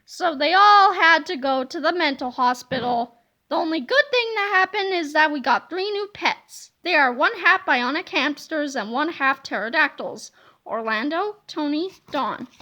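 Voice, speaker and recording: A woman reading a children's comic book aloud in a playful, animated way, slipping into silly voices. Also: high-pitched, moderate pace. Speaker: reader.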